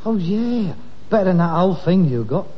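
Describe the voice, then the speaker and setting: A muffled, reverberant old radio comedy recording of a middle-aged son of a junk dealer. His highish voice rises and falls as he coaxes and placates with dry wit. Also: lively intonation, normal speed. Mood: placating. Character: middle-aged son of rag-and-bone man, wry, placating. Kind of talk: 1960s British radio sitcom acting.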